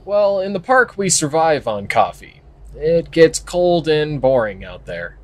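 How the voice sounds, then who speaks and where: An actor playing a skeptical, guarded park ranger in an audio drama, plain-spoken and matter-of-fact. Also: male voice, a little high, sing-song, unhurried. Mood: guarded, dry. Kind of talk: naturalistic audio drama acting.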